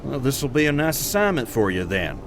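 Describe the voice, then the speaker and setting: A man playing a steady aircraft captain in an audio drama, dry and matter-of-fact with his crew. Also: mid-range voice, normal speed. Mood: conversational. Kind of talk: naturalistic audio drama acting. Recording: faint aircraft engine noise.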